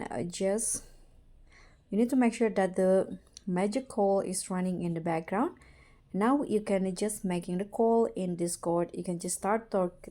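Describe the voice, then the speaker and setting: A woman narrating a casual how-to tutorial as its host, in a halting way with repeated and corrected words. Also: mid-range voice, mellow.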